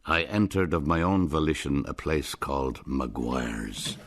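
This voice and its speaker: A man's voice: extremely low pitch, expressive, measured pace. A man with an Irish accent narrating a radio drama in a wry, ornate storytelling manner.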